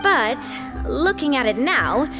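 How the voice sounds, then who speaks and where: A woman playing a cheerful, caring young gang boss in a video game, expressive and breezy. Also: high-pitched, takes it slowly, exaggerated voice. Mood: friendly, composed. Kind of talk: video game character acting. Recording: music behind the voice.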